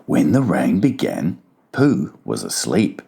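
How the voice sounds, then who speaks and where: A man narrates a children's story in a low, gravelly voice. His pitch moves in lively swings through the dramatized audiobook reading. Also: slow pace.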